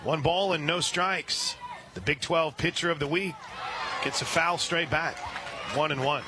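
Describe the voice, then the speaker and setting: A man doing radio play-by-play of a softball game, calling pitches steadily and rising on big plays. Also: measured pace, a crowd in the background, noisy background. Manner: steady play-by-play with brief asides, rising when excited. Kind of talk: radio sports commentary.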